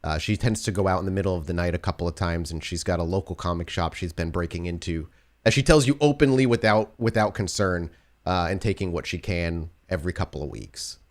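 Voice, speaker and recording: A man's voice: sits low, brisk. A man working as game master, improvising narration and talking rules in a tabletop roleplaying game.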